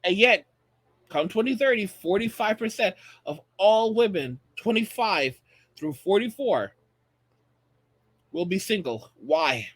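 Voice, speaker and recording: An American man in his late thirties with a noticeably high-pitched voice. He talks like a video channel host, giving opinionated commentary straight to his viewers. His delivery is emphatic and repetitive for effect, in a dry, close recording. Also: animated, a little slow, fairly bright tone, dry recording. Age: late 30s. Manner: emphatic, repeats phrases for effect.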